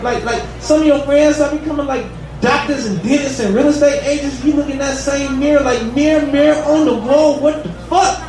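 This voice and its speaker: Guy in his 30s doing loose cussing standup, kinda high voice, roomy bar sound from far off. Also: fairly even intonation, brisk, few pauses. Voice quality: casual, profane, drawling delivery. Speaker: stand-up comedian. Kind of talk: stand-up set at an open mic. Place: bar comedy room. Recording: echoey bar room, distant and noisy. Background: audience ambience.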